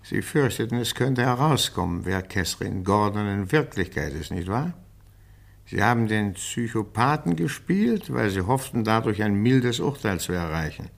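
An actor playing a stern, probing police interrogator in a radio crime drama, formal and insistent. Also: male voice, fairly low pitch, lively intonation, moderate pace, gravelly. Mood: accusing, insistent.

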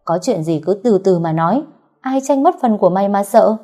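Woman putting on a brusque village master, storybook telling, mellow tone. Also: fairly low pitch, normal speed, faint music behind, lightly acted. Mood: irritated. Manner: snapping, scolding. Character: gruff middle-aged male landlord, short-tempered.

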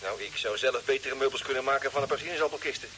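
A man's voice: mid-range voice, very fast, pushed and bright, lightly acted. An actor playing a plain-spoken, dithering, wry crewman sidekick in a vintage radio drama. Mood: incredulous. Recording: crackly old radio recording.

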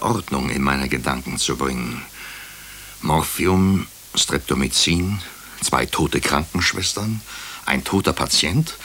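Deep-voiced brooding doctor. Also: male voice, fairly bright tone, slight background noise, heavily acted. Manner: clipped, deliberate phrases with dramatic pauses. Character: brooding male hospital doctor recounting a murder case. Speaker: actor narrating as the lead character. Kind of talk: German radio crime drama narration.

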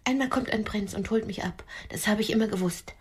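A woman's voice: medium pitch, brisk, breathy edge. An actress in a radio play playing a lonely, boastfully romantic woman with a demanding, theatrical manner. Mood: dreamy, boastful.

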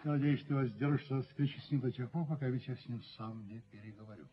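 A man playing a stern, self-important, miserly landlord and father in a radio play, speaking in a pompous, deliberate way. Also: medium pitch, close to an everyday voice. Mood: evasive, measured. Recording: dull 1970s radio drama recording.

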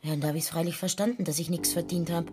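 A woman's voice: fairly low pitch, fairly even intonation, fast, music in the background, close to an everyday voice. An actress playing a chatty, over-familiar streetwalker down on her luck in a radio drama, rambling on. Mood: chatty, plaintive.

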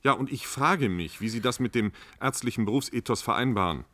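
A man's voice: medium pitch, fairly quick, sharp tone, close to an everyday voice. An actor playing a pushy, self-important male government spokesman in a German radio drama, officious and emphatic. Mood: indignant.

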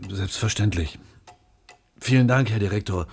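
Prisoner, radio drama: male actor as submissive, terse man, lowish voice, unhurried, faint noise. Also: darkish tone, natural voice. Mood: subdued, submissive. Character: subdued, humble male prisoner. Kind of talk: German radio drama acting.

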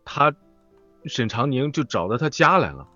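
A man with a husky voice talking casually as a voice actor guest in an interview. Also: mid-range voice, big pitch swings, normal speed, bright, forceful tone, no echo. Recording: dull online call audio, music behind. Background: music.

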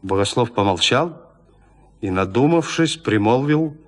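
A man narrating a classic literary tale for an audio drama in a measured, expressive storytelling manner. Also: mid-range voice.

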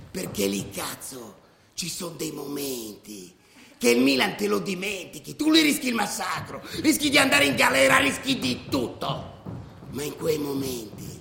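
An actor playing a fervent, proud football ultra in a radio drama, speaking emphatically and colloquially with bursts of shouting. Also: male voice, sits high, creaky, exaggerated voice. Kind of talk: theatrical radio drama monologue. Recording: echoey reverberant room over loud crowd.

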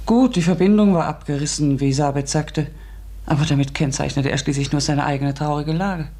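A thoughtful narrator in a radio drama. The voice is slightly breathy and husky, with a measured, deliberative, questioning delivery. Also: male voice, a little high, warm tone. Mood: reflective. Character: reflective narrator with a scientific bent.

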